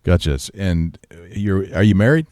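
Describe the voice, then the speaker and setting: Very deep-voiced eager podcast host. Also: male voice, expressive, a little slow, a little mellow. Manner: eager, chatty, agreeing often. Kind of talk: casual podcast interview.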